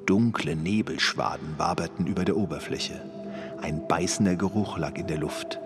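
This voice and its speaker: A man's voice: low voice, normal speed, music in the background. A man playing the solemn narrator of a sci-fi audio drama, reading scripted dramatic narration. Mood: solemn, suspenseful. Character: solemn male narrator of a space adventure.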